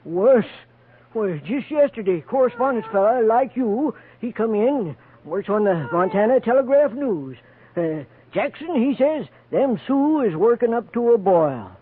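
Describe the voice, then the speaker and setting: An actor playing a folksy, talkative frontier bartender with a rural American drawl, in 1950s radio drama style. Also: male voice, very high-pitched, a little slow. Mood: gossipy, foreboding. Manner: drawling, folksy, excitable, gossipy. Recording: narrow-band, noisy old radio recording.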